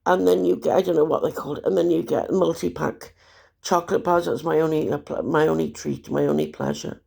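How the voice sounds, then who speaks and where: Hoarse gravelly woman, despairing. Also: sits low, steady tone, brisk, darkish tone. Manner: despairing, rambling, bitter. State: hoarse with a cold. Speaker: vlogger. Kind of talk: rambling personal monologue.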